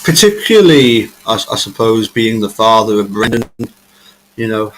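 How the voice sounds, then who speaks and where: A man's voice: lowish voice, very animated, moderate pace, fairly bright tone, slight background noise, rough recording. A man in his thirties hosting a casual live chat interview, stumbling and repeating words as he builds his questions. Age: 30s. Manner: hesitant, repeats words while asking questions. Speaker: host.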